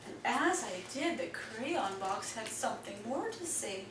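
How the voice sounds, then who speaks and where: A woman reading a picture book aloud to young children in an expressive, deliberate storytelling manner. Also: fairly high pitch. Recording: roomy, distant mic, faint noise.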